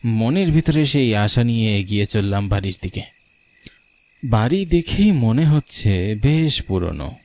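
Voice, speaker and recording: A man's voice: lowish voice, very animated, unhurried, a little mellow. A man reading a scripted first-person story as its narrator. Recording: clearly noisy, rain and thunder behind. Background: rain and thunder sound effects.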